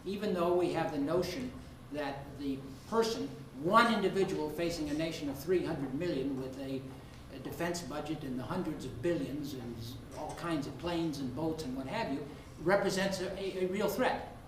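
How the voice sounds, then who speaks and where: A man who is a law professor speaking in a debate, laying out his case deliberately in short rhetorical phrases. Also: fairly high pitch. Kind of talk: spoken legal debate. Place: indoor room at a law school event. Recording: very echoey room, distant mic, faint noise.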